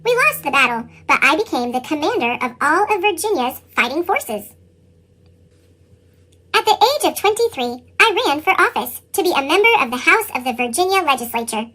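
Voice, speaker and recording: A woman reading a children's picture book as an earnest young boy, with a squeaky pitched-up chipmunk effect. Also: normal speed, full character voice. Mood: earnest, proud. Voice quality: squeaky, sped-up chipmunk sound. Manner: animated children's read-aloud delivery. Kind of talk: scripted picture-book narration.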